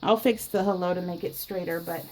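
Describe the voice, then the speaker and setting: A woman chatting casually to her viewers while she scrapbooks, giggling often and filling pauses with ums and restarts. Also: lowish voice, fairly even intonation, darkish tone, slight background noise, low-quality recording. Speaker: scrapbooking YouTuber. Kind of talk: casual chat while crafting.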